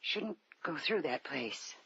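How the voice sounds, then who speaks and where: Expressive woman holidaymaker, bright voice with a husky edge, vintage radio drama, muffled old recording. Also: low-pitched, takes it slowly, exaggerated voice. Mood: uneasy. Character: Doris, uneasy but agreeable woman tourist on holiday. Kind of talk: 1940s-50s radio drama acting.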